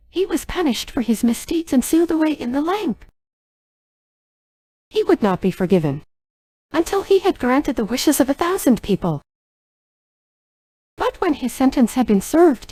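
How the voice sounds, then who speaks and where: A synthetic woman's voice playing a gentle, apologetic young female genie in a game cutscene dub, breathy and thin, with stilted, earnest delivery. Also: sits high, big pitch swings, moderate pace, pauses often, no echo, full character voice. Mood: hesitant, solemn.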